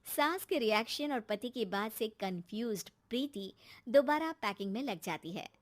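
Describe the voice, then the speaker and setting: A woman storyteller reads scripted cartoon narration. Her voice sits very high for a woman. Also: animated, moderate pace.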